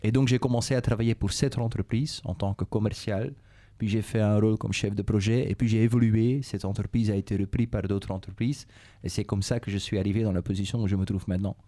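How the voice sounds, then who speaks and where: A middle-aged Belgian executive whose first language is Dutch, speaking French with a Flemish accent and answering questions in a measured way, with frequent hesitations. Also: male voice, on the low side, steady tone, dark tone. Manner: measured, repeats himself, frequent "euh" and "et cetera". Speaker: business executive interviewed by schoolchildren. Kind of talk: calm explanatory interview answers.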